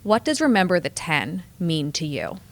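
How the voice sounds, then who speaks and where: An American woman hosting a podcast, setting up interview questions in a measured, conversational way. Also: on the low side, sing-song. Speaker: podcast host.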